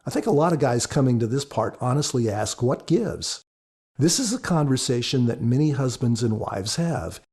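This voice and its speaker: A man's voice: mid-range voice, lively intonation, moderate pace, a little mellow, husky. A man speaking as an author on a podcast, giving scripted commentary.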